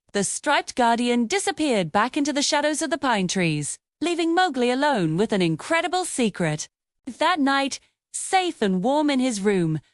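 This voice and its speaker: A woman narrating a scripted children's bedtime story in an expressive, dramatic storytelling manner. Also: fairly high pitch, no room sound, studio quality.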